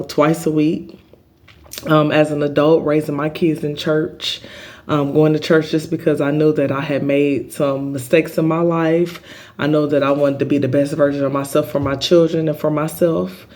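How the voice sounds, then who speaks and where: Earnest halting man with a flat voice. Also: fairly high pitch. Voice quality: big voice. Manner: earnest, halting, many um fillers. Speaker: spiritual channel host. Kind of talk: spiritual healing vlog monologue.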